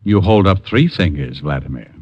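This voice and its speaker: A man's voice: sits low, expressive, normal speed, breathy edge, muffled recording. A man playing a wry, wisecracking private detective with an American accent, in 1950s radio drama style. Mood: dry, coaxing. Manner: dry, wisecracking.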